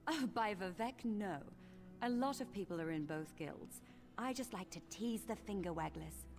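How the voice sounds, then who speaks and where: A woman's voice: medium pitch, lively intonation, moderate pace, darkish tone, natural voice. A woman playing a teasing, irreverent fighters' guild member in a fantasy game, with a playful manner. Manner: playful, mock-threatening. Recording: game audio, faint music underneath.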